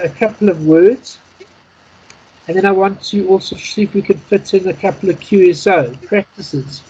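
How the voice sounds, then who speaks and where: A man leads an informal practice class over an internet call. His voice is husky and he explains things methodically, item by item. The sound is somewhat muffled. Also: sits high, darkish tone. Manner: methodical, explanatory, spelling in phonetic alphabet. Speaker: Morse code instructor. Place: online video call.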